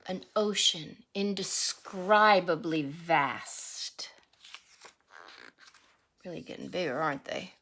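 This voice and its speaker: A woman reading a rhyming picture book aloud as a teacher would, deliberately, with explanatory asides and questions for young listeners. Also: on the low side, fairly bright tone, a little hiss or hum.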